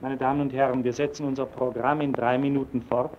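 A man making a formal radio broadcast announcement in a measured manner. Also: mid-range voice, level tone, slight background noise. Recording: dull old radio broadcast recording.

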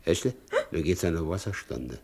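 A man playing a good-natured, broken, aging carter in a stage drama, brooding and guilt-ridden, with halting, rustic, repetitive speech. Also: sits low, expressive, darkish tone, a little hiss or hum. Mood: brooding, muttering.

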